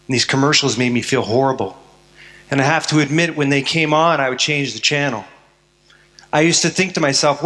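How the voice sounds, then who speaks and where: A man's voice: medium pitch, fairly bright tone. Man giving a school talk in a hall. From Canada. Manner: earnest, storytelling, self-deprecating. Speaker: speaker addressing students. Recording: long hall reverb.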